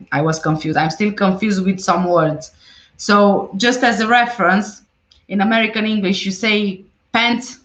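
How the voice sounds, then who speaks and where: A woman's voice: lowish voice, reverberant, rough recording. A woman hosting a casual livestream, reading viewer comments aloud and answering them conversationally. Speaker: livestream host. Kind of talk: casual livestream chat about a case.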